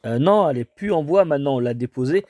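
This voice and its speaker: A man's voice: a little high, animated, fast, a little mellow. A man in a casual phone conversation, explaining things in a rambling, hesitant way. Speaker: homeowner taking a cold call.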